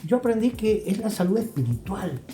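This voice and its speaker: Older Argentine man with a mellow voice. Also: high-pitched, very animated, moderate pace. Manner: emphatic, didactic, repeats phrases. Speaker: guest therapist. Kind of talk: reflective interview talk. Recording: roomy echo, faint music.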